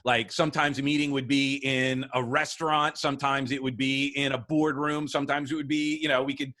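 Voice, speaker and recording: A man hosting an interview show, telling a story in a relaxed, thoughtful way. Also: mid-range voice, fairly quick. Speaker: show host.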